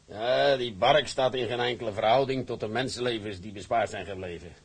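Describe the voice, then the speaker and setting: A man's voice: medium pitch, brisk, breathy edge, close to an everyday voice. An actor playing a fatherly shipping company director who speaks measuredly and kindly, with hesitant fillers.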